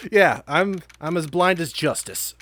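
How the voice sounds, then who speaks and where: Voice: medium pitch, expressive, slow pace, fairly bright tone, slight background noise. A let's play co-host chatting casually while trying out a game. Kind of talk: casual gaming chat.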